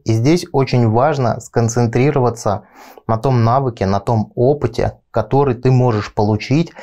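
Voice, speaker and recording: A man in his thirties speaks as a coach in an instructional video. His voice is mellow and relaxed, at a middling pitch. He explains step by step and talks straight to the viewer, recorded on a lapel mic in a small studio. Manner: explanatory, step-by-step, direct address. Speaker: video blog host, coach and trainer. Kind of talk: instructional talk to camera, addressing the viewer. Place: small indoor studio with panelled backdrop.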